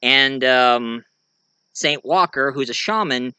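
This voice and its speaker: An American man giving a casual show-and-tell monologue, rambling and halting as he corrects himself along the way. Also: mid-range voice, bright, forceful tone, clear, resonant tone, dry recording. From United States. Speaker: amateur reviewer and story writer presenting own work.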